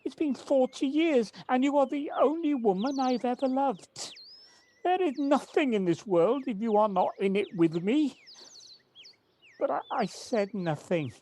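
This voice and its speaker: A woman acting a remorseful older partner of forty years in an amateur audio drama, halting and confessional. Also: sits high, lively intonation, dark tone. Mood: pleading. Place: at home, recorded remotely. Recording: faint noise, home personal-device recording.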